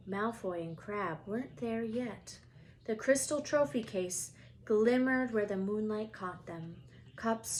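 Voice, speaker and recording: A woman storyteller reading a children's book aloud in an expressive style. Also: mid-range voice, a little hiss or hum, poor audio.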